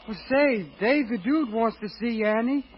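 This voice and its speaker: Very high-voiced plaintive pencil peddler. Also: male voice, moderate pace. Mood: downcast, friendly. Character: plaintive street pencil peddler called Smiley. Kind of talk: old-time radio drama acting. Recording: muffled, noisy old radio recording.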